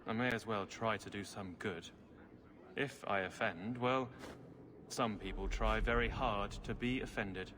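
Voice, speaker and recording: A man's voice: lowish voice, unhurried, lightly acted. An actor playing a principled young nobleman in a fantasy game, speaking in an earnest, thoughtful, well-spoken way. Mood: earnest, defiant. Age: young adult. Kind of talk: video game cutscene acting. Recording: long hall reverb, faint ambient noise.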